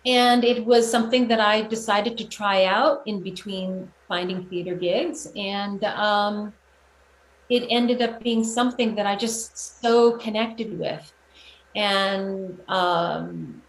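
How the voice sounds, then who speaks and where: A middle-aged woman giving reflective, earnest interview answers about her own career, often halting and rephrasing as she goes. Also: mid-range voice. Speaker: guest, children's music performer and teacher. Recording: roomy echo, clear background noise.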